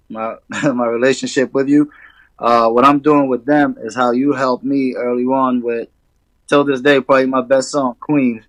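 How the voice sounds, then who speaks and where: A man from Sudan chatting as a rapper guest in an easygoing, slangy manner. His voice sits at an ordinary middle pitch. The recording is dry and close, with little room sound. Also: no room sound, low-quality recording. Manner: laid-back, relaxed hip hop slang. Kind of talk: casual interview chat.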